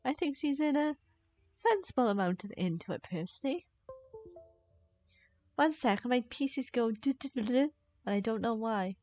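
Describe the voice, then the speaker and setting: A woman's voice: on the high side, lively intonation, normal speed, pauses often, darkish tone, lo-fi sound. A woman livestreaming a game with casual commentary, musing aloud in a playfully sceptical way on a close mic. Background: faint game music.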